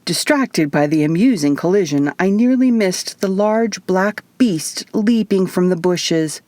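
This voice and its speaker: Female audiobook narrator, fairly low voice. Measured, expressive first-person storytelling, dry recording. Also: no room sound. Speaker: author reading own audiobook.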